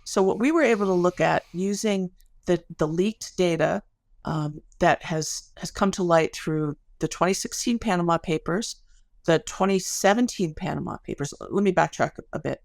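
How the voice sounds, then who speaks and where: A woman's voice: on the low side, moderate pace, vocal fry, a little mellow, dry recording, clean studio sound. A middle-aged woman speaking as an academic interview guest, explaining things in a thoughtful, careful way and often correcting herself. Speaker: podcast guest, academic researcher.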